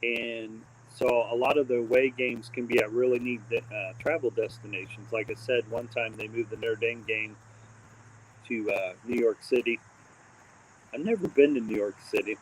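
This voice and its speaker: A man's voice: mid-range voice, fairly even intonation, moderate pace, a little hiss or hum, low-quality recording. Rambling man chatting casually on a livestream. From born in Texas, raised mostly in Indiana. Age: adult with a daughter. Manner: rambling, many fillers and restarts. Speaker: host of a live stream.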